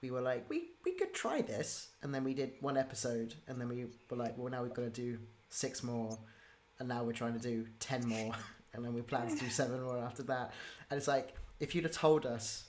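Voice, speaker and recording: A woman's voice: rumbling low, lively intonation, moderate pace, a little mellow. A woman hosting a casual interview, talking informally and rambling as she interrupts herself. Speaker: interviewer, podcast creator. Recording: clear ambient background noise.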